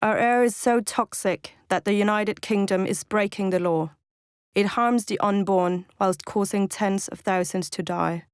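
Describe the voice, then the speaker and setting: A woman reading a scripted activist declaration, solemn, measured and formal. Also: medium pitch, steady tone, smooth, no echo, studio quality. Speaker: activist reader.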